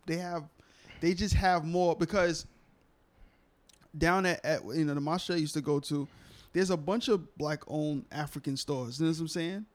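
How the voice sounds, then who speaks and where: A man's voice: a little high, moderate pace. A young man in casual talk, hesitant and often asking "you know what I'm saying". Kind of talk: casual group discussion.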